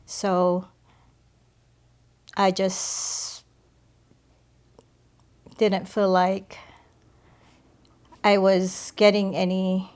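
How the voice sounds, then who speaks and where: A woman from the Philippines, middle-aged, sharing a personal faith testimony as a podcast guest, speaking hesitantly with many pauses. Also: medium pitch, level tone, darkish tone, clean tone.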